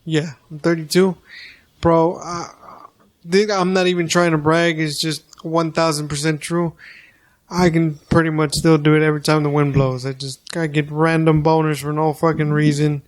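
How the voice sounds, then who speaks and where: A man's voice: on the high side, little pitch movement, normal speed. A man in his thirties, co-hosting a podcast, chatting casually in a rambling, crude way with lots of restarts. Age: 30s. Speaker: podcast co-host. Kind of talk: casual chat.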